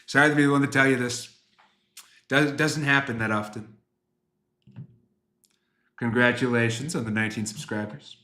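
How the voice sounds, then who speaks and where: A bright, forward male voice in his twenties with a roomy echo around it. He talks casually to livestream viewers as a game developer, rambling through answers and poking fun at himself. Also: mid-range voice, flat delivery, moderate pace, pauses often. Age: 20s. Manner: rambling, self-deprecating.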